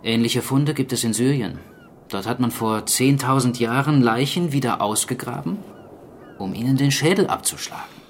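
A man playing a sober archaeologist in a radio drama, speaking in a measured, explanatory way. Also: medium pitch, a little hiss or hum, lightly acted. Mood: grave, explanatory. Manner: measured, matter-of-fact, explanatory. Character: sober male archaeologist explaining a zombie plague. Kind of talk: naturalistic German radio drama acting.

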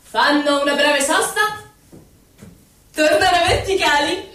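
Dreamlike verse narrator; extremely high voice, deliberate lilting delivery, distant and drenched in echo. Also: female voice, a bit bright. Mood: eerie. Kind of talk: theatrical poetry recitation. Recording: very echoey hall, distant microphone.

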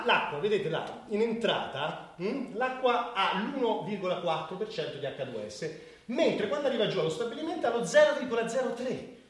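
An actor playing an idealistic, stubborn doctor in a radio play, agitated and repeating himself as he stammers. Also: high-pitched. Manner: agitated, repetitive, stammering when excited. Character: idealistic, excitable, stubborn male spa health director, a doctor. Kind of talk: naturalistic Italian radio play acting. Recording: echoey, distant, noisy radio theatre recording.

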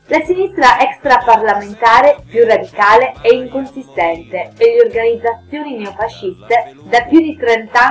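A woman's voice: a little high, low-quality recording. A woman hosting a radio history programme, reading a scripted narration. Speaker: radio show host.

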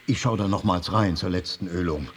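An actor playing a stern, sanctimonious prison chaplain in a darkly comic radio drama, pompous, measured and dryly reproving. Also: male voice, sits low, a little hiss or hum, close to an everyday voice. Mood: disapproving, dry.

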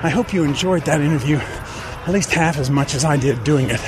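A man's voice: a little high, moderate pace. A man hosting a podcast, talking informally and chattily straight to his listeners. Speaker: podcast host. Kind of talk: casual solo commentary to listeners.

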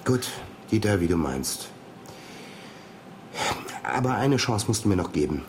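A man's voice: fairly low pitch, very animated, measured pace, darkish tone. An actor playing an ambitious, scheming businessman in a radio drama, speaking insistently and persuasively. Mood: smoothly coaxing. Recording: noticeable background ambience noise.

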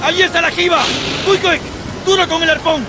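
An actor playing a carefree, pipe-smoking whaleboat officer who shouts orders at his rowers in a radio drama. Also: very high voice, steady tone, a little fast. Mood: fierce. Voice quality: shouting, rough and driving. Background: dramatic music.

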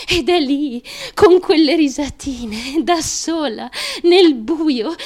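Unstable young woman, super high voice, theatrical radio monologue, anguished and volatile. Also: heavily acted. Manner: volatile, swinging between hushed confiding and wailing.

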